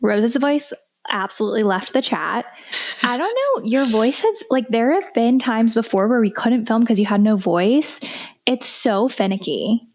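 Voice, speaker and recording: A woman's voice: a little high, normal speed, darkish tone. A young woman co-hosting a podcast, chatting casually and laughing often, with many "like" fillers. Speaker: podcast co-host. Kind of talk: casual chat.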